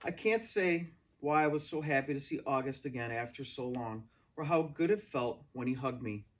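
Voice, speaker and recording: A man reading a story aloud as an expressive narrator. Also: mid-range voice, moderate pace. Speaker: read-aloud reader.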